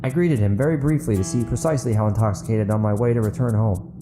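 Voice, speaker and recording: A man voicing a large, boorish, excitable busybody of a small town in a dramatic audiobook. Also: on the low side, quick pace, music in the background, close to an everyday voice. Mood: hesitant, defensive. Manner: blustering, yelping when worked up. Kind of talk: dramatic audiobook character acting.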